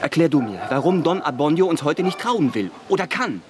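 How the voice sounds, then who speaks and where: An eager young bridegroom of a radio play, insistent and direct. His voice sits fairly high and moves in lively swings, always urgent. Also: fairly quick, noisy background. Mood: pleading, probing. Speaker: radio drama actor. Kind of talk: German radio play acting.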